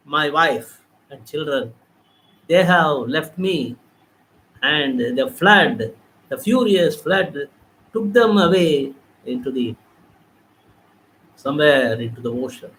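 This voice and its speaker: A man's voice: on the high side, a bit bright, a little hiss or hum, voice pushed down, poor audio. A man voicing Narada, a man lamenting the loss of his wife and children and pleading for help. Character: grieving man who lost his family, despairing.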